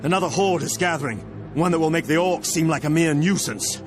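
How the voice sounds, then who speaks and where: High-voiced, earnest army captain acted by a man. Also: brisk, lightly acted. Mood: urgent. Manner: formal, declamatory, urgent. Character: earnest, commanding human army captain in a fantasy war. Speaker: military captain. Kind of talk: dramatic video game voice acting. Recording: music behind the voice. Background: game score.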